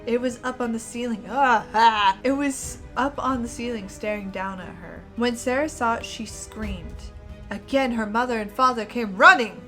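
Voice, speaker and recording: Higher-voiced woman reading stories playfully. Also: normal speed, soft background music. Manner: playful, expressive, interrupts herself with asides. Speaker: co-host reading stories aloud. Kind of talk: dramatic story reading mixed with casual banter.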